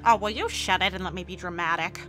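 A woman's voice: on the low side, quick pace, bright, forceful tone, soft background music. A woman voicing a brash, melodramatic young man, a chaotic brother, with theatrical intensity in a comic dub. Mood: playful, dramatic. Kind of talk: dramatic comic dub acting.

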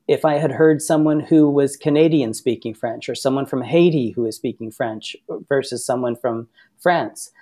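Guy from massachusetts chatting as a podcast guest, mid pitch, rambles into stories. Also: darkish tone. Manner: talkative storyteller, easygoing. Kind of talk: casual interview chat.

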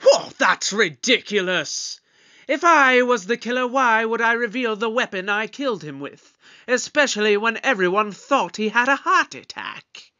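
A man's voice: high-pitched, big pitch swings, normal speed, bright, forceful tone, full character voice. A man playing an indignant, declamatory time-travelling detective in a sci-fi audio drama. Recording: dull lo-fi microphone.

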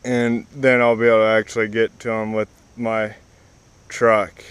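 A young man explaining his tree cutting as he goes, in a halting casual way with many fillers and restarts, recorded outdoors in a wooded yard on an action camera. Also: lowish voice, level tone, noisy background. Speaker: vlogger. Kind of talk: casual explanation while working. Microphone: action camera on the ground.

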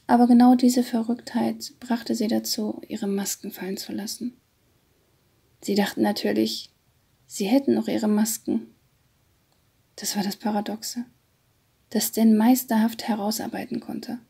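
A woman reading scripted literary narration in a hushed, breathy, half-whispered voice. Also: a little high, level tone, a little slow, pauses often. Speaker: audiobook narrator.

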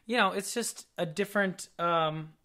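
A young man with a breathy voice, a voice teacher chatting casually on a couch and rambling through his points. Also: sits high, moderate pace, bright, forceful tone. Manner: rambling, restarts, conversational. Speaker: voice teacher and reaction video co-host. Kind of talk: casual analytical chat. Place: living room studio, seated on a couch.

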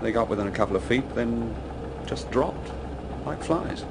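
An actor with a British accent playing a courteous, matter-of-fact army lieutenant in a radio drama. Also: male voice, medium pitch, normal speed, natural voice. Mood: grave, factual. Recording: noisy outdoor ambience with vehicles.

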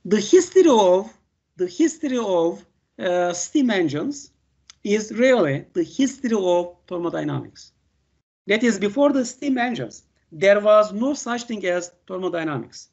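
Older Turkish professor, non-native English, lecturing over a video call, halting delivery full of fillers, dull lo-fi sound. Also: male voice, high-pitched, big pitch swings, creaky, darkish tone, husky. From Turkey. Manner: halting, many "uh" fillers and "okay" checks. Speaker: university professor. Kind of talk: online lecture.

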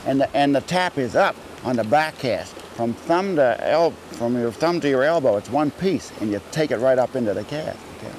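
An older man coaching fly casting while wading in a wooded trout stream, folksy and repeating his words as he demonstrates. His pitch sits in an ordinary middle range. A faint hiss of running water and outdoor ambience sits under his voice. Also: animated, normal speed, few pauses, darkish tone. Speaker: fly fishing guide. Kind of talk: outdoor fishing instruction.

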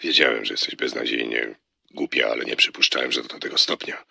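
A man playing a curt, haughty, irritable inventor with a rough, gravelly voice. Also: a bass voice, steady tone, a little fast, bright, forceful tone, dry recording, full character voice. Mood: angry scorn. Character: curt, scornful male inventor on the telephone.

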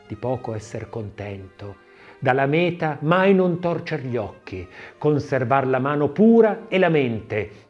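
A man's voice: medium pitch, lo-fi sound. Theatrical male literary narrator over music. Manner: theatrical, declamatory, swelling and dropping to hushed phrases. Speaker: audiobook narrator. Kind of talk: dramatic literary reading of poetry and scripted narration.

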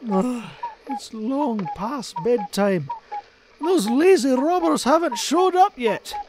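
Grumpy stingy old duck for a cartoon dub, slow fussy scolding, voice jumps all over, bit noisy. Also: male voice, very high voice, sing-song, heavily acted. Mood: weary, grumbling. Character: cranky, miserly old male cartoon duck. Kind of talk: comic dub cartoon acting.

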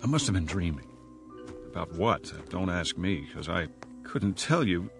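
An actor playing a bewildered man without memory in a radio drama, halting, stammering and questioning himself as he rambles. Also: low voice, sing-song, gravelly, over music, exaggerated voice. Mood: puzzled, musing. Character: bewildered amnesiac man, rambling and nervously chatty. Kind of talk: radio drama first-person monologue.

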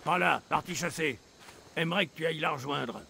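Un acteur de doublage jouant un rebelle bourru dans un jeu vidéo. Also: male voice, a little high, brisk, vocal fry, pushed and bright, slight background noise. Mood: matter-of-fact. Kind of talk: dubbed video game acting.

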